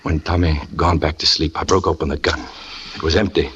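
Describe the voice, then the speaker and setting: A brooding, jealous circus catcher. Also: male voice, very deep voice, steady tone, fairly quick, fairly bright tone, breathy edge, dull audio, exaggerated voice. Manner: brooding first-person confession, rising to anguish. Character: jealous, tormented young male circus catcher. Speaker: narrator and lead character. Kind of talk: 1940s radio drama acting.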